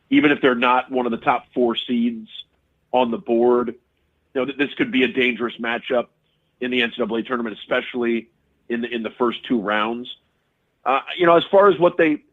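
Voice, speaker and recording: A man talking casually as a sports radio analyst, rambling with many restarts and fillers. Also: medium pitch. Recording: tinny phone-line audio.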